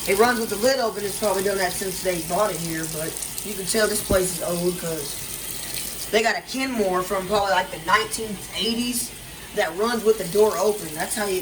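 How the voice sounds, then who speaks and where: A man rambles through a casual vlog walkthrough in a condo kitchen, full of fillers and restarts. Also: sits high. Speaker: vlogger. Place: indoor condo kitchen by a running washer. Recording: noisy with running water and appliance sounds.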